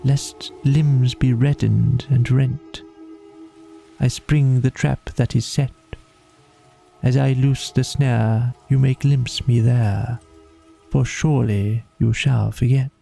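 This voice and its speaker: Man voicing a dreamy, husky storybook water rat. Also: medium pitch, fairly even intonation, takes it slowly, mellow, soft background music. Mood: hushed, entranced. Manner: murmuring, rapt. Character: dreamy, languid, poetic male water rat.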